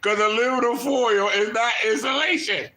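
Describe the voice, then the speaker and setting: A man's voice: extremely high pitch, moderate pace, bright, forceful tone, reverberant. A man from Maryland hosting a commentary channel, mocking someone in a clip with incredulous, repetitive questions. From Maryland, USA. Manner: incredulous, mocking, repeats points. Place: home room.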